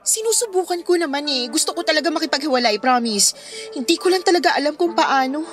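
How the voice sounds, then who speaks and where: High-voiced, plaintive bride-to-be. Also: female voice, expressive, fast. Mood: defeated, evasive. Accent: Filipino. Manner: hesitant, plaintive. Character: lovesick, stubborn, troubled bride-to-be clinging to her fiancé. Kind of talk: Filipino radio drama acting. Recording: music playing behind the dialogue.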